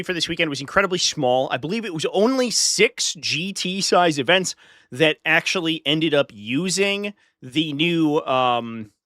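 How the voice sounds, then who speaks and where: A man's voice: fairly high pitch, bright, forceful tone, no room sound. A man with a stuffy nose from a flu, hosting a live stream in casual chat, talking straight to his viewers with dry sarcastic asides.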